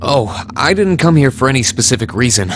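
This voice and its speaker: Earnest young student hero played by a man, mid-pitched. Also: very animated, a little fast, slight background noise, natural voice. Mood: tentative. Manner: polite, sincere. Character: earnest, polite young male academy student, loyal and resolute. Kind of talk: video game voice acting. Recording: music behind the voice.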